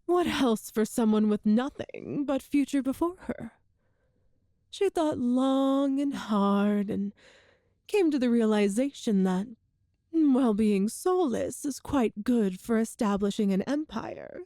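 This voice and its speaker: Casting: female actor as ageless evil empress for ASMR roleplay drama; soft mellow tone, unhurried, grandiose, condescending, very dry close recording. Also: a little high, lively intonation, dry recording. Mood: grandly narrating. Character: cruel, ancient immortal sorceress empress, smugly superior.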